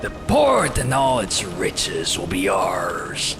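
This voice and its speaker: An actor playing a bloodthirsty raider captain in an audio drama, commanding and menacing. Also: male voice, low voice, wildly swooping pitch, takes it slowly, over music, exaggerated voice. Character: bloodthirsty raider captain rallying his oarsmen. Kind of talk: full-cast audio drama acting.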